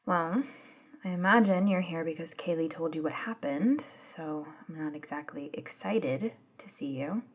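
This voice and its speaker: A woman's voice: sits low, moderate pace. A woman acting in an ASMR audio drama as a brash, defiant young woman with a sarcastic, impatient, informal manner. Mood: sarcastic, bristling.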